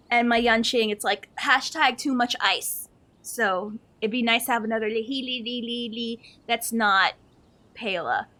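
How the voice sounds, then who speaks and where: A woman's voice: fairly high pitch, bright, forceful tone. A woman livestreaming a game, chatting casually with viewers in a playful, slangy, giggly manner.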